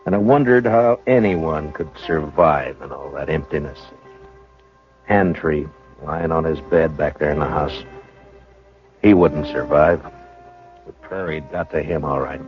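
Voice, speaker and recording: An actor playing a calm, firm frontier marshal who also narrates, in a 1950s radio western, with an unhurried way of talking. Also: male voice, extremely low pitch, soft background music. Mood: reflective. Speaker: narrator and lead. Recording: muffled vintage radio broadcast.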